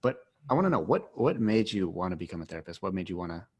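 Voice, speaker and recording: A man in his mid-twenties hosts a casual podcast conversation, his speech loose and full of "like". His voice sits on the low side. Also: big pitch swings, quick pace, slightly rough. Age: 20s. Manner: casual, says "like" often. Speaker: podcast host.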